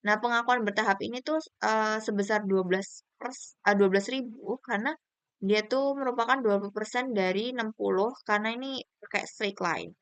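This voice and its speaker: A woman's voice: mid-range voice, fairly bright tone, clean tone, no echo. A young woman, giving an explanatory slide presentation as a university student. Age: young adult.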